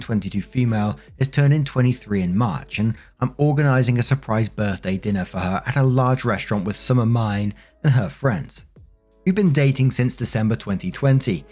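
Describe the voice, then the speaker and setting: A man's voice: mid-range voice, expressive, moderate pace, darkish tone, faint music behind. A man narrating online stories and commenting on them in a conversational, opinionated way. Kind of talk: reading stories aloud with casual commentary.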